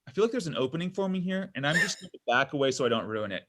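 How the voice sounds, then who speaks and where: A man's voice: a little high, expressive, racing, background noise, natural voice. A man playing a self-absorbed, needy young man in a comedy table read, melodramatic and whiny. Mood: awkward, apologetic.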